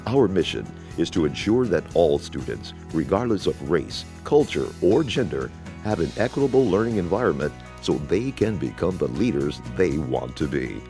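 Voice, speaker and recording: A male announcer reads a scripted podcast intro with a deep, lively delivery. His tone is warm and mellow, and music plays under his voice. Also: normal speed. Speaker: show announcer.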